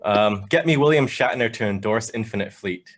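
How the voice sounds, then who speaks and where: A man co-hosting a casual live show, joking and teasing his co-hosts. His voice sits on the low side. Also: moderate pace, a bit bright. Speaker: podcast co-host.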